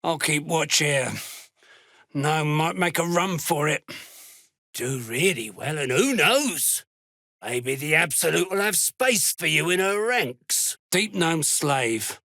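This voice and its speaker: A gravelly, menacing dark dwarf slaver for a fantasy game. He takes his time with each line, sneering and callous, with a hard, forceful edge. The delivery is gruff and threatening, that of a zealous cult soldier. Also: fairly high pitch, no room sound, studio quality, exaggerated voice. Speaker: video game character. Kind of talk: video game character voice lines.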